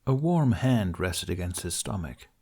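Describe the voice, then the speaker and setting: A man's voice: low voice, very animated, measured pace, dark tone, husky. A man reading scripted fiction as an audiobook narrator.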